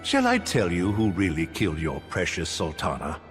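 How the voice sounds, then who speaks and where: Embittered game villain, a treacherous soldier, scornful and theatrical, lively delivery, long hall reverb. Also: male voice, low-pitched, moderate pace. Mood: bitter taunting. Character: bitter, treacherous soldier turned on his old friend. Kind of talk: video game cutscene acting. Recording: reverberant hall, music behind.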